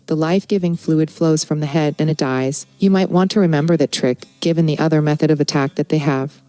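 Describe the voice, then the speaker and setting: A woman co-hosting and narrating scripted folklore in a deadpan manner. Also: deep voice, clean tone, dry recording, rough recording. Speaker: co-host and narrator.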